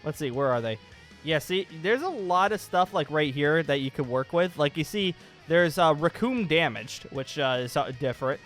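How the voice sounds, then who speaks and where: Bright, high-pitched excitable man with music behind. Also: normal speed. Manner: excitable, rambling, emphatic. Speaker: YouTube channel host. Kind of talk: casual gaming channel update. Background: background music.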